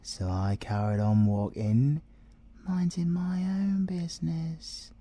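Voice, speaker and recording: A British man playing a cocky, flirtatious male siren in an ASMR audio roleplay, breathy and teasing, often slipping into whispers. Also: a little high, fairly even intonation, slow, mellow. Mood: teasing, smooth. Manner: teasing, theatrical, drops into whispers.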